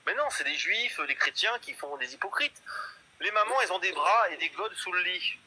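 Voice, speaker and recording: A man's voice: high voice, lively intonation, normal speed, sharp tone, slightly rough, low-quality recording. A man in his fifties in a casual live chat, boastful and rambling, full of hesitations. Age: 50s. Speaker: guest in a live stream chat.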